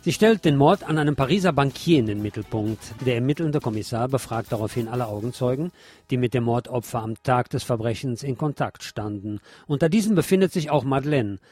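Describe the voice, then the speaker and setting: A man's voice: mid-range voice, lively intonation, brisk, darkish tone, breathy edge, dry recording, faint music behind. A man hosting a radio show, reading a film review from a script with chatty asides to his listeners.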